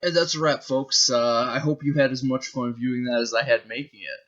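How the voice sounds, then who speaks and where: A man's voice: mid-range voice, fairly even intonation, a little fast, lo-fi sound, background noise. A man narrating his own short story in a dramatic storybook style. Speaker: author reading his own zine.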